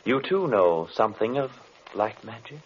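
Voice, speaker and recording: An actor playing a clever, composed mystic hero in an old radio drama, formal and cryptic. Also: male voice, fairly low pitch, normal speed. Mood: cryptic, unafraid. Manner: calm, formal, enigmatic. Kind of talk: 1940s radio drama acting. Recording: dull, faintly noisy old radio recording.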